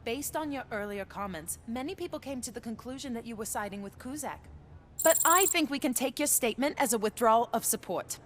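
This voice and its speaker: A woman's voice: on the high side, animated, fairly quick, slight background noise, lightly acted. An actress playing a poised political reporter in a video game, formal, articulate and pressing with her questions. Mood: composed, surprised.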